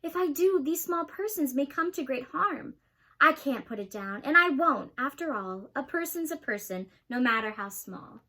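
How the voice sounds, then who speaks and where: A young woman voicing a kind, earnest male elephant in a storybook reading, with a lively, bright voice. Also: high voice, normal speed, exaggerated voice. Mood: worried. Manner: earnest, pleading.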